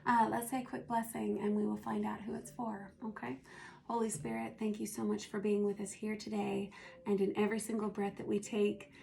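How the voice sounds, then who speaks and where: A woman with a hoarse, gravelly voice talks through a tarot reading at an unhurried pace, thinking aloud as she goes. Her pitch stays level and flat, with little rise or fall. She sounds like she is losing her voice. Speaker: tarot reader. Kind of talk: casual spoken tarot reading.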